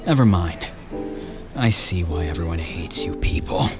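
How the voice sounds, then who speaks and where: A defiant orphan lad played by a man speaks in a medium-pitched voice, sullen and blunt. The pace is slow, with clear music behind this audiobook drama performance. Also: lively intonation, natural voice. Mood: defiant, bitter. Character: defiant, irritable orphaned son of exorcists. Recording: background music.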